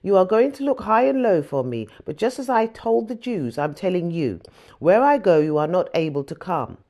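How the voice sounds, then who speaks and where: A woman's voice: lowish voice, big pitch swings, moderate pace, mellow. A woman reading a Bible story as audiobook narration, expressively, with emphasis on the dialogue. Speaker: scripture reader.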